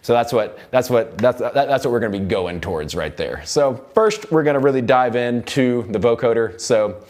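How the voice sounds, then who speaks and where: An American man in his thirties giving an informal software tutorial as an instructor, often repeating and rephrasing himself as he goes. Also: medium pitch, normal speed, hardly any pauses, soft background music. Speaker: audio production instructor. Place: recording studio control room.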